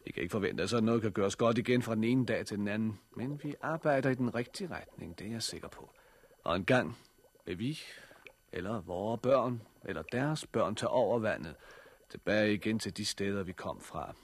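An actor playing a steady, practical survivor and family protector in a 1960s radio drama. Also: male voice, on the low side. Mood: calm, resolute.

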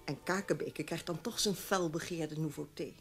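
Shrewd former secretary, middle-aged, low-pitched, frank, advisory, radio drama, music underneath. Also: female voice, normal speed. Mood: shrewd, advising. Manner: knowing, advisory. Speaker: actor.

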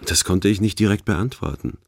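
An actor playing a callous, naive soldier who narrates a river mission in a radio play, formal, deadpan and measured. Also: male voice, on the low side, creaky, no room sound, clean studio sound. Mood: detached.

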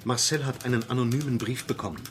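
An actor playing an earnest, easily offended young lawyer and dutiful son in a German radio crime play. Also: male voice, mid-range voice, quick pace, natural voice. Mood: indignant.

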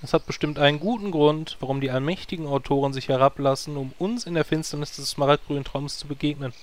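A man's voice: a little high, quick pace, natural voice. A man voicing an earnest, long-winded male druid hero in a fan audio drama. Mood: grandiose. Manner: earnest, grandiloquent.

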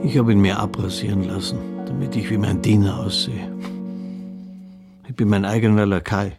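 Lowish-voiced, wry eccentric gentleman. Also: fairly even intonation, normal speed, creaky, over loud music. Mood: wry pride. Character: eccentric impoverished gentleman acting as his own servant. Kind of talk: radio drama acting.

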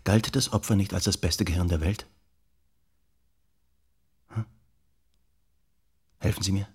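An actor playing a persistent investigator in a radio drama, calm and insistent, with a husky voice. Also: male voice, low-pitched, measured pace, heavily acted. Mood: calm, persuasive. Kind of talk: German radio drama acting.

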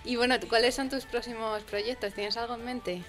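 A woman's voice: fairly high pitch, steady tone, normal speed, fairly bright tone, soft background music. A woman co-hosting a casual interview in an informal, encouraging manner. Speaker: interview co-host. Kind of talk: casual chat.

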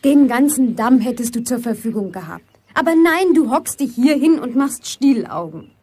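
An actress playing a sharp-tongued, indignant young woman in a German radio play, scolding in colloquial speech. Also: fairly high pitch, lively intonation, moderate pace, breathy edge.

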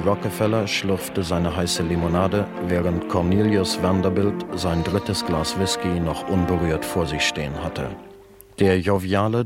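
Male narrator, radio drama: low, measured, deadpan, strong musical backing.

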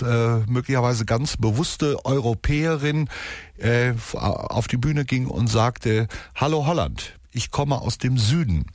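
Male music radio host, mid-pitched, digressive and hesitant, close dry studio sound. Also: no room sound. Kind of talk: unscripted music radio presenting. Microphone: close studio radio microphone.

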